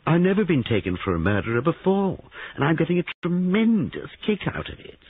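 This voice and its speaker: Impish young man, played by a male reader, storybook mischief-maker, high swooping pitch, neurotic and theatrically taunting. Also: sing-song, brisk, breathy edge, dry recording, narrow, tinny audio, full character voice. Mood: gleeful taunting.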